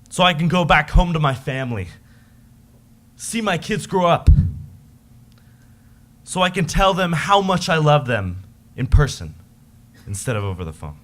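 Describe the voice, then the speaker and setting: A man playing a weary, homesick modern soldier, reading a heartfelt, halting letter to his wife. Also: fairly high pitch, fairly bright tone, heavily acted. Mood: pleading.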